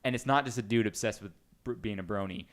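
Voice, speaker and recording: A man's voice: on the low side, fast. A man hosting a podcast in casual, jokey, slangy banter with friends. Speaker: podcast host, quizmaster.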